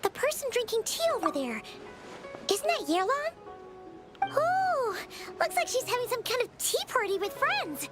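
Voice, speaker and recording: A tiny childlike fairy companion in a video game. The voice is squeaky and extremely high, bouncing around animatedly with excitable energy. Loud music plays underneath. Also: female voice, measured pace, full character voice. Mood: curious, eager. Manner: excitable, refers to self in third person.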